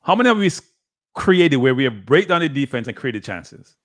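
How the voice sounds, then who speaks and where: A man's voice: medium pitch, big pitch swings, brisk. A man from Jamaica with a Jamaican accent, arguing tactics on a live football watchalong and repeating his points. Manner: argumentative, repeats himself, frequent laughter.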